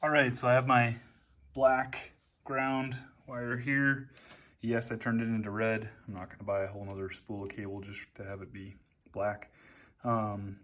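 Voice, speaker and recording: A man giving a casual, hands-on how-to walkthrough as an amateur, talking haltingly as he pieces his sentences together while he works. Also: fairly low pitch, flat delivery, fairly bright tone, a little hiss or hum. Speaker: amateur DIY how-to video maker.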